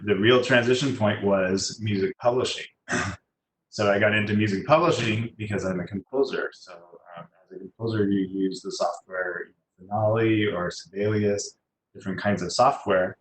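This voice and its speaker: A man speaking as a guest, giving a casual, halting, chatty career talk to students. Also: lowish voice, a bit bright. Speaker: guest speaker, musician and designer. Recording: echoey room, faint background noise.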